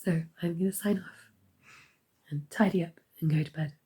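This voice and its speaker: A woman giving a casual crafting update, hoarse and half-whispering as her voice fails. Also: lowish voice, steady tone, normal speed, dark tone. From United Kingdom. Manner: half-whispered, croaky delivery. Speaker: crochet vlogger.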